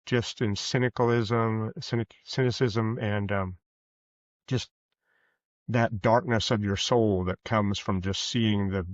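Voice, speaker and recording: A man giving a reflective interview as a podcast guest, speaking earnestly and haltingly, often rephrasing as he goes. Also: fairly low pitch, steady tone. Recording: muffled, roomy, echoey sound.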